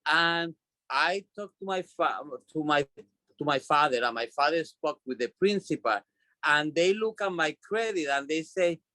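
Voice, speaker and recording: A man's voice: fairly high pitch, lively intonation, measured pace, sharp tone, no room sound. Puerto Rican man telling stories. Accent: Puerto Rican Spanish. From Puerto Rico. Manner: repeats and restarts words often, chatty storytelling. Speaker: guest, doll designer. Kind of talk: casual interview chat.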